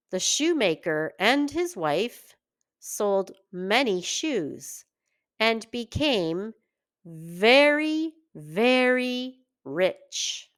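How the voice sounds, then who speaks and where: A woman reading a children's fairy tale aloud in an expressive, deliberate storytelling manner. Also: mid-range voice. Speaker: children's story reader, teacher.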